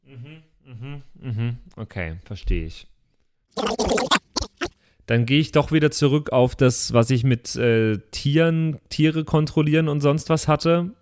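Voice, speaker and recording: A man with a mid-pitched voice talks casually, the way a player does in a group planning a role-playing game. The delivery is informal and conversational. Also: takes it slowly, a little mellow, a little hiss or hum.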